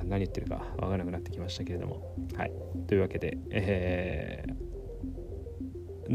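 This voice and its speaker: A man hosting a casual, rambling solo talk in a giggly, self-amused manner. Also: low voice. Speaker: host of a solo talk show. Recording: music playing behind the voice. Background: background music.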